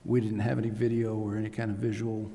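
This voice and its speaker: A man, a city official, answering council questions in a halting way with frequent "uh" pauses. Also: fairly low pitch, completely flat delivery, dark tone, a little hiss or hum. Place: council chamber. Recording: reverberant chamber with faint ambience.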